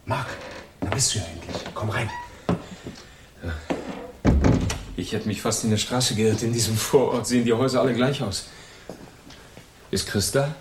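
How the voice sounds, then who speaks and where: An actor playing a trusting escaped convict in a radio crime drama, hesitant and open in manner. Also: male voice, medium pitch, fairly quick, noisy background, natural voice. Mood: relieved. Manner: hesitant, incredulous. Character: trusting male escaped convict, stunned by a friend's betrayal.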